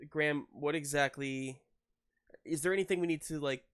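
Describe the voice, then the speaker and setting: A man's voice: a little high, moderate pace, a bit bright, clear, resonant tone. A man working as game master, improvising narration and talking through a tabletop game.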